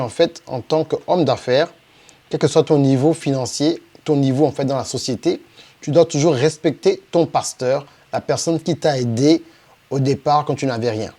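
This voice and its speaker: Middle-aged French man, mellow voice. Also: medium pitch. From France. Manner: frequent "en fait" fillers and hesitations. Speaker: business coach. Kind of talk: unscripted presentation monologue.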